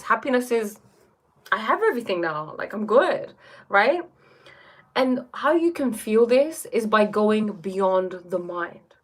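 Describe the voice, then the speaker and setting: Hesitant young woman livestream host. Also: medium pitch. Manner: hesitant, lots of fillers and "like", reads comments aloud. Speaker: livestream host answering viewer questions. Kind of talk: casual livestream Q&A on spirituality.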